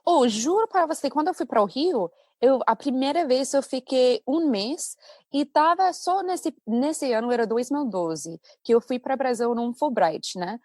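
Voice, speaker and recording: An American woman, a native English speaker and English teacher, chatting as a podcast guest in a lively storytelling manner. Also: sits high, moderate pace, no echo, clean studio sound. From United States. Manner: chatty, animated storytelling with laughs. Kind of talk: casual podcast conversation.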